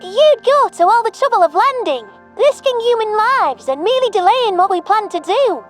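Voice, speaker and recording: A woman's voice: extremely high pitch, animated, moderate pace, soft background music. A woman playing an embittered, distrustful alien bird fleet commander in a sci-fi audio drama, blunt and threatening. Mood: suspicious. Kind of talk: audio drama character acting.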